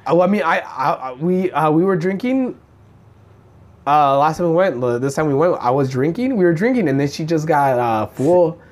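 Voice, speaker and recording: A man's voice: fairly high pitch, lively intonation, moderate pace. Slangy man with a slight lisp. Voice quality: slight lisp from a bitten tongue. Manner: slangy, peppers talk with dude and man. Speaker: stand-up comedian. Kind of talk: casual chat.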